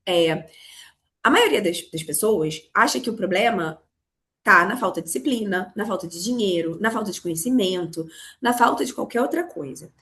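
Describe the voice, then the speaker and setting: A Brazilian woman from Rio with a Carioca accent, hoarse from a cold, teaching a live online class on money, talking straight to her students. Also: medium pitch, lively intonation, normal speed, fairly bright tone. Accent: Carioca Brazilian Portuguese. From Rio de Janeiro, Brazil. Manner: explanatory, addresses students directly. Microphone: video call.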